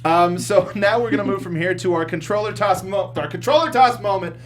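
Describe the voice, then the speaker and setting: A man hosting a casual film discussion panel, animated and quick to chuckle as he talks. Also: high-pitched. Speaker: talk show host.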